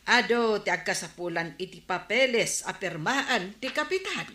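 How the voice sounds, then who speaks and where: An actress playing the suspicious, indignant wife of a village captain in an Ilocano comedy radio drama, nagging and exclamatory, with an Ilocano accent. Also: female voice, mid-range voice, expressive, normal speed, pushed and bright, reverberant.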